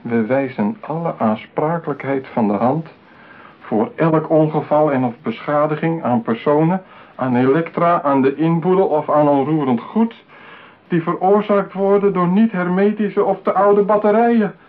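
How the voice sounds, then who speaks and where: A man's voice: a little high, normal speed, close to an everyday voice. Actor as lonely possessive man, tinny old recording. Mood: anxious reading. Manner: theatrical, talking aloud to his silent bride. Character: lonely, possessive man besotted with his mechanical bride. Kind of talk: radio play acting. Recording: tinny narrow-band radio play, clear hiss.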